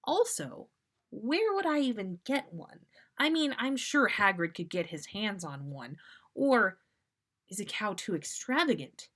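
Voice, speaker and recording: A woman's voice: mid-range voice, big pitch swings, unhurried, fairly bright tone. A woman voicing an earnest, dramatic, easily panicked teenage boy in a story reading. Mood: anxious pondering. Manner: overwrought, exclaiming.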